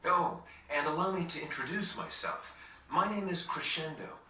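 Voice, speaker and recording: An actor playing a kind, modest, easygoing young ship captain in a video game, courteous and genial. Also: male voice, a little high, moderate pace, pushed and bright, echoey room, far from the mic. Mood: gracious, easygoing. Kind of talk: video game cutscene acting.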